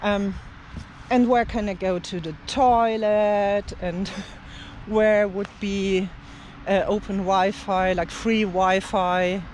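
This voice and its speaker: Slow, rambling speaker. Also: mid-range voice, fairly even intonation, darkish tone, slight background noise. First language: German. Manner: unhurried and rambling, with many fillers and light laughs. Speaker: language teacher and travel vlogger. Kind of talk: casual talk to camera. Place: outdoors in an open field.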